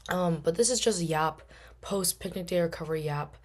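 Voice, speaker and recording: A young woman in her twenties with a hoarse, worn-out voice, hosting a casual solo podcast and rambling along with lots of fillers. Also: fairly low pitch, moderate pace. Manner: rambling, chatty, many fillers. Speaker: podcast host.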